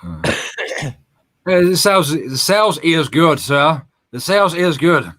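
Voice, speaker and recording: A man's voice: fairly high pitch, very animated, measured pace, sharp tone. A man, co-hosting a gaming stream in casual, sweary, joking banter. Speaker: co-host of a gaming radio stream.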